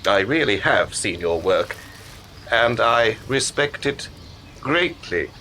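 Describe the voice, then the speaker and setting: An actor in a radio play playing a secretive, cultured male promoter who speaks in a measured, erudite, persuasive way. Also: fairly low pitch, animated, sharp tone, slight background noise, full character voice. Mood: persuasive, intriguing.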